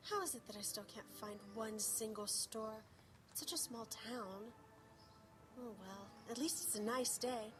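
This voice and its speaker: A high-voiced, bookish young mare from a cartoon, acted by a woman, fretting and exasperated with dramatic flair. The voice plays over speakers in a panel room with music behind it. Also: slow pace, pauses often. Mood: mildly exasperated. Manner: dramatic, exasperated, talks to herself. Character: bookish, fretful young female cartoon pony. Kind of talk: animated fan audio drama acting.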